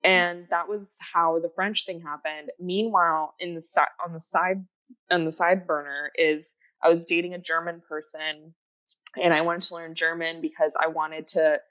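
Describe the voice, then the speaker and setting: A woman's voice: fairly low pitch, little pitch movement, normal speed, a bit bright, rough recording. A woman whose first language is Japanese, chatting enthusiastically as a podcast guest with lots of fillers. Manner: rambling, enthusiastic, many fillers and restarts. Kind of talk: casual chat.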